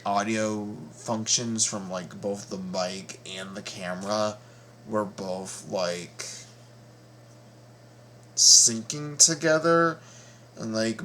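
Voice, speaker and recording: A man hosting a casual reaction vlog, talking haltingly with repeated words and stammers. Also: on the low side. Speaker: reaction video host. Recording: steady background noise.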